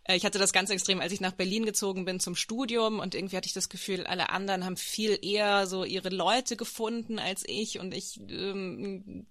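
A woman with a notably bright, sharp voice talks reflectively in a personal podcast chat. The sound is very dry. She speaks informally and feels her way toward the right words. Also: medium pitch, steady tone, fairly quick, few pauses, no echo.

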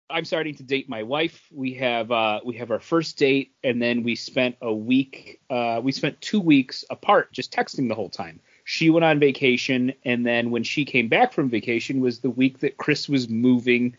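A man's voice: medium pitch, normal speed, no echo, dull audio. A man co-hosting a podcast in casual chat, telling anecdotes and acting out little exchanges as he goes. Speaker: podcast co-host.

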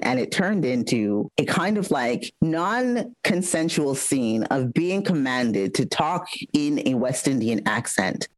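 A woman guest telling a personal story frankly, in quick animated bursts full of fillers. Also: extremely low pitch, dry recording, rough recording. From United States, raised by Trinidadian parents. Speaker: podcast guest. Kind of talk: candid conversational storytelling.

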